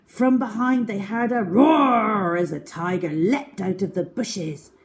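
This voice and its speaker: A woman narrating a children's story in an expressive, scripted read-aloud style. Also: medium pitch, slow.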